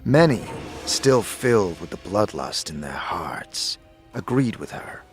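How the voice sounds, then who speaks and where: A man playing a solemn warrior-monk mentor, speaking in a grave, formal, measured way as in a fantasy audio drama. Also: low voice, big pitch swings, soft background music, exaggerated voice. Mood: solemn, reverent.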